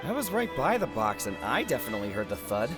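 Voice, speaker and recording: A man's voice: medium pitch, huge pitch swings, fast talker, loud music behind the voice. A man voicing a male spectator who speaks up as an eager, emphatic witness in a game cutscene. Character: male opera spectator near the box.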